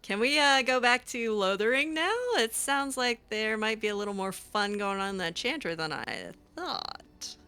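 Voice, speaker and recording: A woman roleplaying her way through a game in a casual voice. She sounds fairly high and bright, with very animated pitch. Her phrasing is halting and awkward, as if improvised. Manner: halting, awkward, expressive, breaks into laughs and sighs. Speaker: roleplay gamer, series host.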